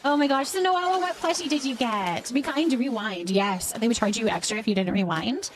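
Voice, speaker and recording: A woman's voice: fairly high pitch, fairly quick, background noise. A middle-aged woman hosting a casual live stream, chatting informally and thanking viewers as she answers their comments.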